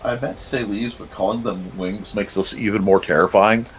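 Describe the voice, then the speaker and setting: A man with a slightly croaky, strained voice chatting casually and goofily, half to himself, as he hosts a drawing stream. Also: fairly low pitch, fairly even intonation, normal speed. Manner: goofy, rambling, half talking to himself. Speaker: cartoonist hosting a live art show. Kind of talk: casual chat while drawing.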